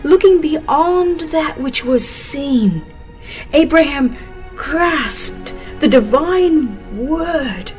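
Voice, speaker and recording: A woman reading a dramatized religious story as an audiobook narrator, solemn and expressive, with measured phrasing and dramatic pauses. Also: high voice. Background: music behind the voice.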